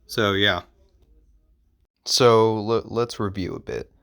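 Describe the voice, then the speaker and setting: Low-voiced man with a creaky edge. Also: takes it slowly, a bit bright. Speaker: amateur analog horror video creator giving advice. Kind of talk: casual explainer monologue.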